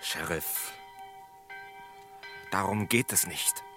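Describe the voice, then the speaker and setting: An actor playing a condemned man who calmly accepts the gallows, grave and insistent, in a 1960s German radio drama. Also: low-pitched, drawn-out words, full character voice. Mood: resigned, insistent. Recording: 1960s radio play, music behind.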